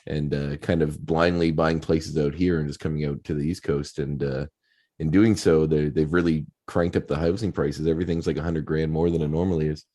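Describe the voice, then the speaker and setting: A man's voice: very deep voice, fairly even intonation, brisk, mellow. A man hosting a podcast, chatting casually with his guest and rambling with lots of fillers. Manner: conversational, rambling with many fillers. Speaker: podcast host. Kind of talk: casual interview chat.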